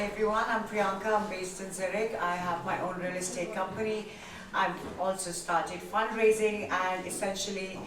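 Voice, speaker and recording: Woman from India, entrepreneur, halting, roomy, distant. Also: lowish voice. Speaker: real estate entrepreneur. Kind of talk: panel discussion. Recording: roomy sound from far off, noisy.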